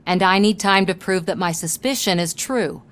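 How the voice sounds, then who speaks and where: A woman's voice: on the low side, moderate pace, a bit bright, close to an everyday voice. An actress playing a defiant, determined woman investigator in a video game, firm and wry.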